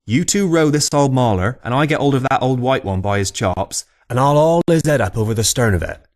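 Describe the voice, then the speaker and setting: A man voicing an old Cornish fisherman telling a tall pub tale in animated, exclamatory dialect. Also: medium pitch, brisk, close to an everyday voice. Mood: exclamatory, indignant.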